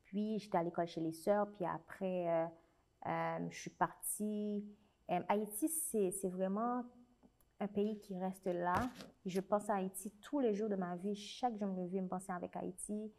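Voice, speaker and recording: A woman's voice: medium pitch, steady tone, unhurried, darkish tone. A young adult woman with a Haitian French accent, a guest speaking earnestly and reflectively in a conversational interview. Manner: earnest, reflective, with frequent restarts. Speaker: guest, writer and special educator.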